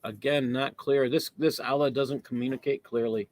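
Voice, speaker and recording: A man's voice: medium pitch, fairly even intonation, normal speed, no echo. A man in a casual discussion, answering with wry, dry remarks and the occasional chuckle. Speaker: co-host.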